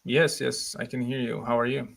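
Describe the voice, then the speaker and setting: A young Slovak man with a Slovak accent, hosting a casual livestream, reading comments and thinking aloud in a relaxed way with frequent laughs. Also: mid-range voice, moderate pace, creaky. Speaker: livestream host.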